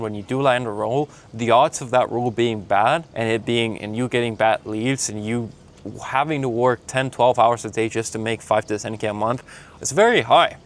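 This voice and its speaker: A young man with a Mexican Spanish accent and Spanish as his first language, giving a blunt, motivational talk to his camera while traveling. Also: mid-range voice. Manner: blunt, pep-talk delivery, calling the listener man and bro. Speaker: sales coach. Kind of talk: motivational vlog monologue. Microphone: handheld camera filming himself.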